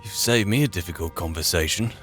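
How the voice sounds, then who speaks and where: A man improvising in-character roleplay in a fantasy game, musing aloud in a halting way with pauses. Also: low voice, a bit bright. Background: game music.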